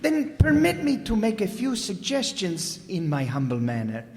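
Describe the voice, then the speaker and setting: An actor playing a thin, impeccably mannered rug dealer, formal and fawning, in a radio detective drama. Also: male voice, high-pitched, wildly swooping pitch, moderate pace, darkish tone. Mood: eager, fawning. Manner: unctuous, formal, deferential. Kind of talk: old-time radio detective drama acting. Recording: reverberant hall recording.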